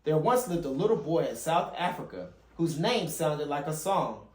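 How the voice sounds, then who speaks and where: A man's voice: on the high side, big pitch swings, normal speed. A man reading a children's picture book aloud as a father and host, now and then stumbling and rereading a phrase. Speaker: father hosting a children's read-along channel. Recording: roomy, echoey home recording.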